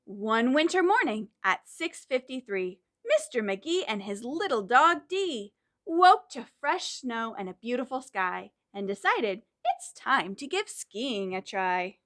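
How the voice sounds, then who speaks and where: A woman leading a children's storytime, talking directly to young kids in an animated, encouraging way as she presents songs and reads aloud. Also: fairly high pitch, takes it slowly.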